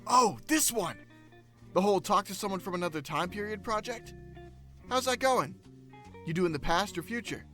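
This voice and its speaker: A man's voice: high voice, lively intonation, moderate pace, pauses often, pushed and bright, faint music behind. A man playing a mischievous, laid-back male student in an audio drama, joking in casual slang. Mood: teasing.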